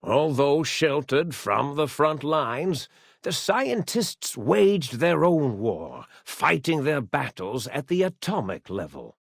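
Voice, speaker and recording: Slow, gravelly, solemn storyteller. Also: male voice, a little high, sing-song, no room sound, studio quality, exaggerated voice. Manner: measured, grave, deliberate. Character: solemn old storyteller recounting a lost science facility's history. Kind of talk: scripted narration for a video game.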